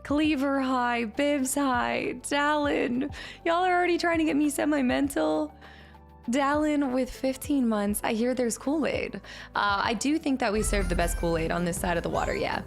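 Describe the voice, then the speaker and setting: A woman streamer chatting casually with her viewers and thanking them, upbeat, her voice a little raspy today. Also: high-pitched, moderate pace, faint music behind. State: slightly raspy voice. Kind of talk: casual stream chat reading chat. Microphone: streaming mic.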